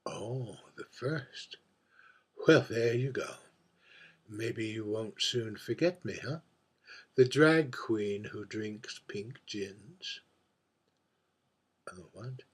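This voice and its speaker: A man playing an aging drag queen in a theatrical monologue, camp, wry and musing, with a husky voice and sweet little endearments. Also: on the low side, drawn-out words, long pauses, heavily acted. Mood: chatty, coy. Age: older.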